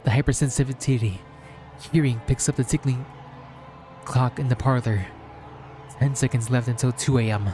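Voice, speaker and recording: A male streamer reads fantasy fiction aloud in a solemn, measured way. His pitch sits in the middle for a man, and he delivers short phrases as dramatic narration. Faint music plays behind him. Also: fairly even intonation, dark tone. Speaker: streamer reading a visual novel aloud. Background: background music.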